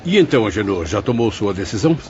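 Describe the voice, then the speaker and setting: A man's voice: on the low side, big pitch swings, fast. A man playing an earnest, respectful spirit pupil in a dramatised audiobook, speaking in a formal, literary way. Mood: reflective. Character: earnest male spirit pupil recounting his memoirs, respectful. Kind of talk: dramatised audiobook narration and dialogue. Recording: muffled audio with music behind.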